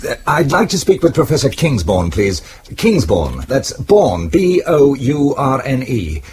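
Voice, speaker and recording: An actor playing a resourceful, wry British husband turned amateur sleuth in a radio drama, speaking in a dry, clipped way. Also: male voice, mid-range voice, sing-song, a little slow, noisy background. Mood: flustered.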